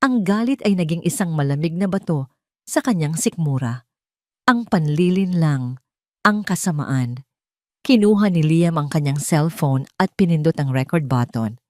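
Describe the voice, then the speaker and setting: A woman's voice: low-pitched, expressive, a little slow, darkish tone, no room sound, clean studio sound. A woman narrating a scripted dramatic love story as an audio drama.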